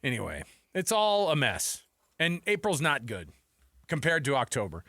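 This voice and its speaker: A man's voice: medium pitch, wildly swooping pitch, moderate pace, pushed and bright, dry recording, studio quality. A man hosting a sports radio show, arguing his points emphatically in casual, bantering debate. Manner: argumentative, emphatic.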